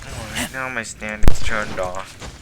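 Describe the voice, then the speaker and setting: A man's voice: on the low side, takes it slowly, poor audio. A man chatting casually as he records a video game playthrough on an amateur mic. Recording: amateur mic under loud game audio. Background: loud game music.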